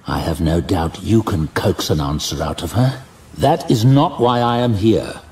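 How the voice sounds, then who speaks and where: Audiobook: weary, wry male wizard, read by a man, deep and unhurried. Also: far from the mic. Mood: grave, urgent. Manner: light, dry, calm under pressure. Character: wry, determined older male archmage. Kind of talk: audiobook character voice.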